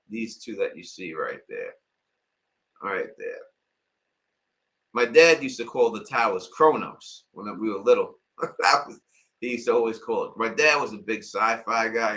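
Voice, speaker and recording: Middle-aged New man, live-stream host, bright edge, casual and wandering delivery. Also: mid-range voice. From Queens, New York. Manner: rambling, lots of filler phrases.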